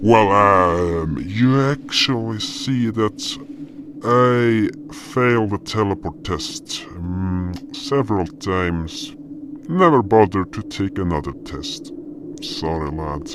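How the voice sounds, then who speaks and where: A man voicing a deep, slow beast guardian. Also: animated. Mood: reassuring, sheepish. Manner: slow, deliberate storytelling with hesitations. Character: gentle, proud, hulking male beast guardian narrating his past. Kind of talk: fantasy audio drama narration and dialogue. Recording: noticeable background noise.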